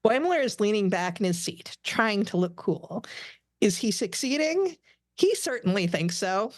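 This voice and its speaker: A woman's voice: mid-range voice, very animated, unhurried, dry recording. Woman narrating a script, close and dry. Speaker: narrator of stage directions. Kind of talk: scripted narration at a podcast table read.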